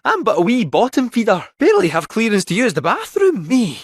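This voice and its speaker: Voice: medium pitch, wildly swooping pitch, a little fast, slightly rough, no echo, studio quality. An actor voicing a jaunty, theatrical robot cat with a Scottish accent in a video game, helpful, folksy and a little fussy. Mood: fussy, plucky. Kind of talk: animated video game character acting.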